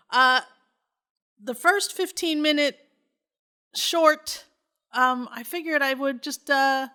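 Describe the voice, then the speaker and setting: A woman's voice: a little high, bright, forceful tone. A woman hosting a podcast, speaking informally, in casual chat or reading her own script aloud. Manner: informal, self-deprecating, sweary. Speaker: podcast host.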